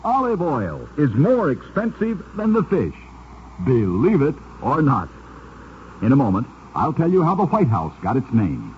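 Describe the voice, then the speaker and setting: A man's voice: medium pitch, wildly swooping pitch, unhurried. A man narrating scripted curiosities for an old-time radio show, with a dramatic, emphatic storyteller delivery. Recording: dull, noisy vintage radio recording.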